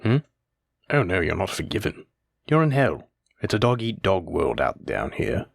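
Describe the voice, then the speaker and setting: A man playing a stern, teasing demon lord in a scripted audio roleplay, speaking in a measured, commanding way. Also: low voice, huge pitch swings, gravelly, no echo, clean studio sound, full character voice. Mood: cold, menacing.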